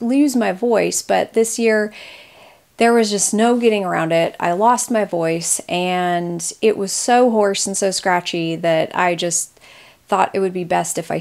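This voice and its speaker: A young woman chats at home about her latest knitting in an unhurried, easygoing way. She rambles and restarts her sentences often, with soft laughs in between. She is picked up by a camera mic. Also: mid-range voice. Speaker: knitting vlogger. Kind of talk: casual chat about knitting.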